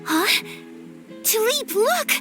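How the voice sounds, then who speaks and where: Game role, young noblewoman: polite, gentle, formal, high-pitched. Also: very animated, drawn-out words, faint music behind, exaggerated voice. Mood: awed. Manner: formal, gracious. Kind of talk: video game character acting.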